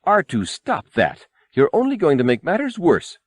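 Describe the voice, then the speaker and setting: A man's voice: a little high, huge pitch swings, normal speed, no echo, clean studio sound, pitched above the performer's own voice. A man voicing a fussy, haughty protocol droid in a prim, formal, pompous manner for an audiobook. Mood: indignant.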